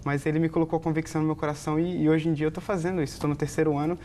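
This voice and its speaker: A man's voice: a little high, flat delivery, very fast, warm tone, slight background noise. A young man with a Brazilian Portuguese accent, a theology student telling his story in a hesitant, earnest way. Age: young adult. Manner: hesitant, self-correcting, earnest. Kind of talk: casual personal testimony.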